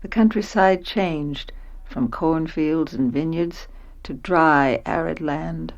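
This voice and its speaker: Middle-aged american mom in a radio play, really low voice, measured and reflective. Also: female voice, dull audio. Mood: brooding, reflective. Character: reflective American wife and mother of forty-one, recalling a fateful trip. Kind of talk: radio drama narration and dialogue.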